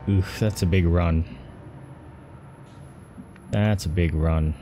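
A man's voice: sits low, steady tone, slow pace, noisy background. A man doing casual live gameplay commentary, reacting and swearing as he talks to himself and his viewers. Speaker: game streamer. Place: indoors, streaming setup at a computer. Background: game music.

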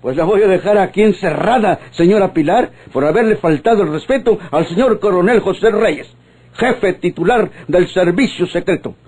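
An actor playing a crafty, obsequious Mexican police subordinate in a radio serial, with a husky voice. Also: male voice, high-pitched, animated, moderate pace, a little mellow. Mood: officious, stern. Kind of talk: old radionovela acting.

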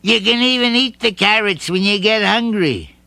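A man voicing a cheery, eager bunny with bright, eager calls. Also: high voice, normal speed, creaky, no echo, pitched above the performer's own voice, heavily acted.

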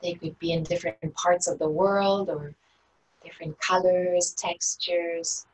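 Low-pitched woman guiding meditation, distant mic. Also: fairly even intonation. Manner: gentle, unhurried, inviting phrasing. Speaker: meditation and yoga teacher. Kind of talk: guided meditation instruction. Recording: distant mic with faint background noise.

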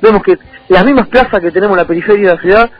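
A young man from Avellaneda with a Buenos Aires accent, giving a spontaneous political interview on radio in a halting way full of false starts. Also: high voice, dry recording. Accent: Buenos Aires Argentine. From Avellaneda, Buenos Aires, Argentina. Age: young adult. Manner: halting, many verbal tics and false starts. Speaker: political candidate being interviewed. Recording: dull lo-fi radio audio with music.

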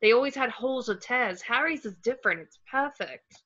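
A woman's voice: fairly high pitch, expressive, brisk, sharp tone. A woman playing a blunt, down-to-earth teenage boy wizard in a scripted table read on a dull-sounding video call. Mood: blunt, casual.

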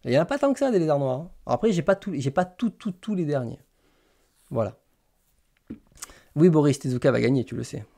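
A man's voice: on the high side, sing-song, a little fast, creaky, pauses often, mellow. A man hosting a casual live stream, hesitantly thinking aloud as he types. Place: small indoor room lined with bookshelves.

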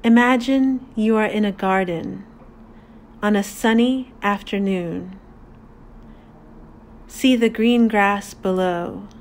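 A woman narrating a guided meditation in a calm, deliberate, soothing manner with long pauses. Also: mid-range voice.

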